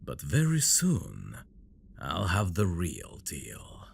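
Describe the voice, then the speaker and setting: A very deep-voiced dragon prince, acted by a man, obsessive and menacing, in an intimate fantasy audio roleplay. He broods slowly and possessively, with a gravelly rasp and wide swings in intonation. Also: huge pitch swings, heavily acted. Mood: predatory, teasing. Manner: slow, brooding, menacing endearments, dropping into whispers. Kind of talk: ASMR roleplay, dramatic monologue.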